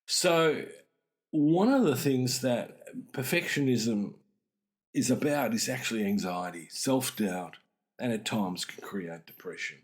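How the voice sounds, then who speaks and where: A middle-aged man hosts an explanatory talk on psychology, speaking deliberately and with emphasis. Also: mid-range voice, lively intonation. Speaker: podcast host and mental health speaker.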